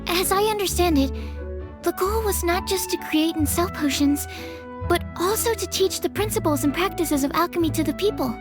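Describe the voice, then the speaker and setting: Young female alchemist for a game, very high voice, gentle, modest, earnest and polite. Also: moderate pace. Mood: explaining. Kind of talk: video game cutscene voice acting. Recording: background music under the voice.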